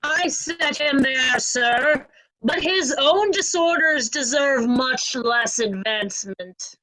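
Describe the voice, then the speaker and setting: A woman's voice: high voice, lively intonation, takes it slowly, pushed and bright, heavily acted, rough recording. A woman playing a curt, haughty nobleman of a royal court in a Shakespearean reading. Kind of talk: Shakespearean reader's theatre acting.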